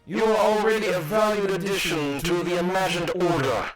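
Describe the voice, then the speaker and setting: A man voicing the composed leader of a secretive villainous order, formal and measured, in a comic reading. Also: sits high, fairly even intonation, distant mic, over loud music, lightly acted. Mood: gracious, controlled.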